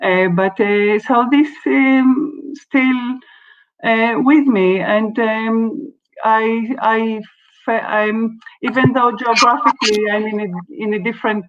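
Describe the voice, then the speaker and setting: A woman from Israel, an artist and researcher, answering a question in an online seminar with many pauses and restarts. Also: on the high side, fairly even intonation, dull audio. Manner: hesitant, many fillers and restarts. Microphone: video call.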